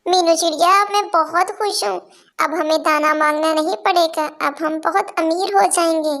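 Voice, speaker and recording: A woman's voice: very high voice, fairly even intonation, moderate pace, a bit bright. A woman voicing a sweet, earnest young female sparrow with a childlike manner in a cartoon story. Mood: happy, eager.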